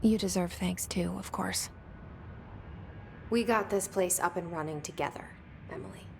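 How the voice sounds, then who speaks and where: A woman's voice: on the low side, moderate pace, vocal fry, a little hiss or hum. An actress playing a guarded, determined young woman in a video game, with naturalistic delivery. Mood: dry, reserved. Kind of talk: naturalistic game acting with inner monologue.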